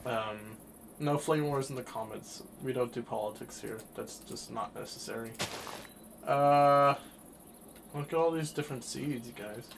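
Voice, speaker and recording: A twenty-year-old man streaming a game and chatting casually, rambling through his thoughts with sudden bursts of excitement. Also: mid-range voice, a little slow, creaky, noisy background. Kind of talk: casual chat while livestreaming a game.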